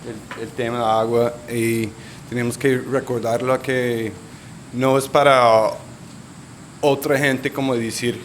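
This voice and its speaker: A man with a Colombian Spanish accent presenting at a conference panel, halting and searching for words. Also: medium pitch, level tone, slight background noise, rough recording.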